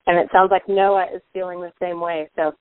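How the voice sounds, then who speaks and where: Podcast host, woman, hoarse and raspy from a cold, chatty; tinny phone-line sound. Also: fairly low pitch, flat delivery, brisk, no echo. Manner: upbeat, chatty, reads guest bio aloud. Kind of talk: casual podcast interview.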